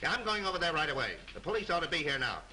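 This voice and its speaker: An actor playing a harried studio publicity man in a 1930s film, talking quickly on the phone. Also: fairly high pitch, animated, lightly acted. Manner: harried, rapid-fire phone talk. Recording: hissy 1930s film soundtrack.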